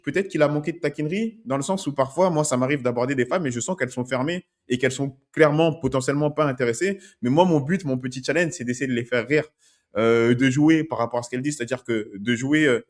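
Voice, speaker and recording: A man with an ordinary mid-range voice breaks down street-approach footage like a dating coach. He keeps a conversational tone, often checking in with his viewers as he explains. His voice sits in a middle pitch, neither high nor low. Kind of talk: commenting on street-approach video clips.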